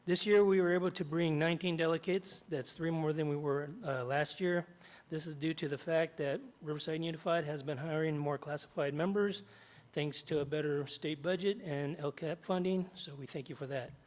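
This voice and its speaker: A middle-aged man, slightly hoarse after jaw surgery, reading prepared remarks at a podium in a large meeting hall and stopping often to clear his throat. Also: a little high, fairly even intonation, normal speed, hardly any pauses. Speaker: union chapter president. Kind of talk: public comment at a board meeting. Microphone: podium microphone.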